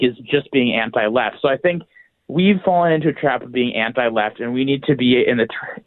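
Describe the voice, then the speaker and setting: A man's voice: medium pitch, no room sound. A young adult man with an American accent, giving a radio interview as an activist guest. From United States. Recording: thin, band-limited phone-like line.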